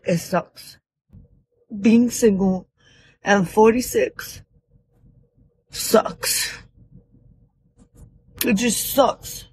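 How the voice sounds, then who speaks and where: Woman, 40s, husky, slow, candid, rueful, dry close recording. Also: mid-range voice, darkish tone, no room sound, rough recording. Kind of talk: confessional vlog.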